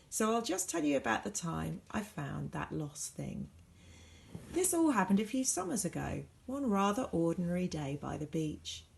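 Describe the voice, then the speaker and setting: A woman reads a children's story with a very lively, swooping pitch and a creaky edge. She leans into key words and leaves deliberate pauses, in the expressive manner of a teacher at storytime. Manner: dramatic, varied emphasis with deliberate pauses. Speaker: teacher reading a storybook aloud.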